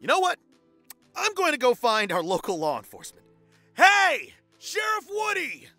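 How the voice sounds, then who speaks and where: Woman acting a bright, snarky gamer friend. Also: sits high, wildly swooping pitch, normal speed, no echo, soft background music, exaggerated voice. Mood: mock alarm. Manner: dry, playful teasing. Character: bragging, snarky video-gamer friend at a party. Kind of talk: audio drama acting.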